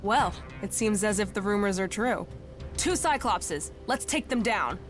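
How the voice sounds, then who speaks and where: A woman's voice: medium pitch, lively intonation, unhurried, soft background music, natural voice. An actress playing a brash, impatient, foul-mouthed woman warrior in a video game, blunt and combative. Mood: gruff, scornful. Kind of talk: video game cutscene acting.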